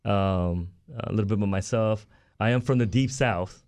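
A man's voice: fairly low pitch, normal speed, darkish tone, no room sound, clean studio sound. A middle-aged man from Southern California, born in South Korea, speaking politely and earnestly as an interview guest about himself. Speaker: guest, retired army chaplain. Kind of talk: interview, telling own story.

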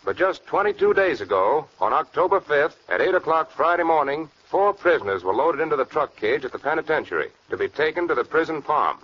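An actor playing a sheriff who narrates a manhunt as scripted 1940s radio crime drama. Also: male voice, a little high, very animated, fairly quick, hardly any pauses. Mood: grave, factual. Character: male Southern sheriff recounting a manhunt. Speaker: case narrator. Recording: muffled old radio recording.

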